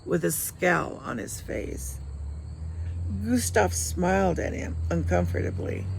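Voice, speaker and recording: A woman reads fiction aloud in an expressive, dramatic way. Her voice is medium in pitch with a noticeable creak. There is faint ambient noise behind her, with crickets. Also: takes it slowly, low-quality recording. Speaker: author reading own novel aloud. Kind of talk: expressive audiobook-style narration.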